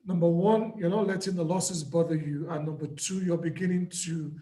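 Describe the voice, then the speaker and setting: Hoarse man teaching live trading. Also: fairly high pitch, steady tone, fairly quick, dark tone, reverberant. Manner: patient, repeats key points. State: hoarse, lost voice. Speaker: trading mentor.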